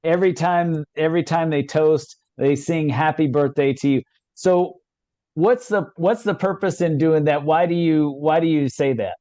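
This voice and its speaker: A man's voice: fairly high pitch, moderate pace, warm tone, no room sound. A man hosting a podcast, friendly and encouraging, often repeating his phrases as he asks questions. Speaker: podcast host. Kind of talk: casual chat.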